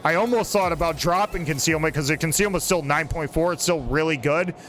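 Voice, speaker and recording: A fairly high-pitched man in his forties talks through a match in a loose, rambling way, now and then joking with a friend. Soft game music plays faintly behind him. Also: fairly quick. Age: 40s. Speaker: gaming channel host. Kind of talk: casual gameplay commentary.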